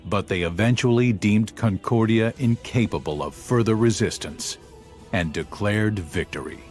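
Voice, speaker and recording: An actor playing a solemn chronicler, giving formal, measured scripted narration of a war in a video game. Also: male voice, lowish voice, a little mellow, faint music behind, lightly acted. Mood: grave.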